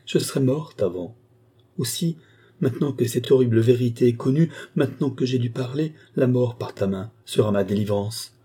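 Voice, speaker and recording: A man's voice: mid-range voice, moderate pace, mellow, husky, pitched above the performer's own voice, lightly acted. A man voicing a passionate, anguished adulterous wife in a storybook, pleading in a desperate, melodramatic way. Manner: melodramatic, imploring.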